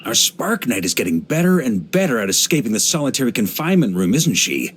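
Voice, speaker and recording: An actor playing a hearty middle-aged knight grandmaster in a video game, an easygoing adventurer who talks in a wry, conversational way. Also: male voice, medium pitch, breathy edge. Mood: amused, sheepish. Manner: relaxed, wry, conversational, with chuckles and musing pauses. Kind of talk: video game character voice lines.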